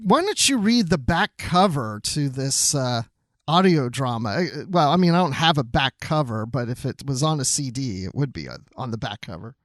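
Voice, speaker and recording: A man's voice: mid-range voice, animated, moderate pace, a bit bright, no echo, clean studio sound. A man co-hosting a podcast in casual chat, with a playful, joking manner. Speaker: podcast co-host.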